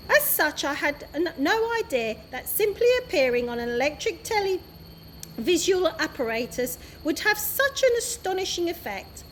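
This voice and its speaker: A woman's voice: sits high, a little hiss or hum. A woman voicing a grandly polite old male tramp who speaks in florid, formal phrases.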